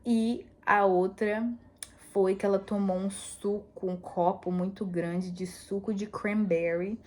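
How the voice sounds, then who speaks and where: A woman's voice: mid-range voice, fairly even intonation, slow pace, darkish tone. Chatty woman rambling in a casual vlog. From United States. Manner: chatty, rambling, laughs often. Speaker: vlogger. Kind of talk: casual chat.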